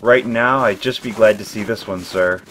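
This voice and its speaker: An actor playing a cold, weary soldier in an audio drama, with a wry, downcast manner. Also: male voice, lowish voice, normal speed, fairly bright tone, lightly acted. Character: cold, weary male soldier talking to his superior. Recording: clear background noise.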